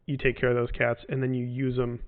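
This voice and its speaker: A man in his forties chatting loosely through fan questions, his voice gravelly and flat in tone. He wanders and restarts, leaning on fillers. The audio is tinny, like a phone line. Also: mid-range voice, fast talker. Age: 40s. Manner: rambling, hesitant with many fillers and restarts. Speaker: game developer answering fan questions. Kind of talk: casual livestream Q&A.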